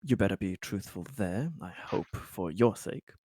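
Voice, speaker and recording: A man playing a stern colony leader in a tabletop game, questioning a prisoner in a formal, halting, threatening manner. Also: sits low, animated, warm tone, breathy edge, no room sound, studio quality, heavily acted. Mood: stern, accusing.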